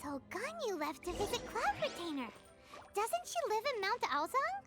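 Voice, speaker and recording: A woman voicing a tiny chirpy female companion sprite with a high, squeaky, childlike voice who talks about herself in the third person. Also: huge pitch swings, moderate pace, over loud music, exaggerated voice. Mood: eager. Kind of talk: video game voice acting.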